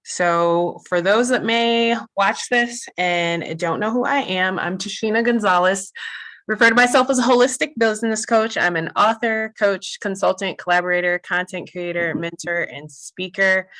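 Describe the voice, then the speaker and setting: A woman's voice: mid-range voice, normal speed, fairly bright tone, poor audio. A woman business coach hosting a webinar, thanking her guest and then starting her own presentation in a polished, upbeat way. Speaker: holistic business coach, host.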